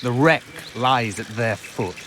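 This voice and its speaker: A man voices an ancient elven woodsman in a fantasy game. He is weary and cryptic, weighing his words at a medium pitch. Clear forest ambience and birdsong sit behind him. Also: takes it slowly, noisy background, lightly acted. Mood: grave, advising. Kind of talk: video game voice acting.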